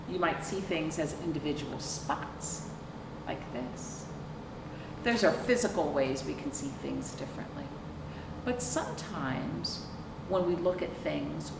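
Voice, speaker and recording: Middle-aged female storytime reader, expressive and unhurried, questioning young listeners; recorded at a distance in a noisy room. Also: fairly low pitch, gravelly, reverberant. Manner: deliberate, explanatory, asks rhetorical questions. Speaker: children's librarian hosting storytime. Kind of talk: picture book read-aloud with questions to young children. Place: indoor room with sofa. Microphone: camera a few feet away.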